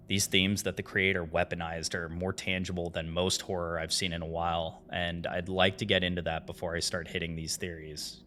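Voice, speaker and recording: A man's voice: low-pitched, sharp tone, slight background noise. A man delivers scripted video-essay narration in an earnest, dramatic way with informal asides. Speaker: host of a horror series analysis video.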